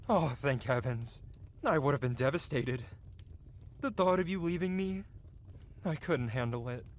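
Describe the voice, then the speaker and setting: A man playing a cocky, flirty yet tender-hearted medieval blacksmith in an intimate, theatrical ASMR fantasy roleplay. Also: fairly high pitch. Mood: mock heartbroken. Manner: teasing, theatrical, with soft hesitations. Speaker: audio roleplay performer. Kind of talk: ASMR roleplay acting, intimate one-sided dialogue.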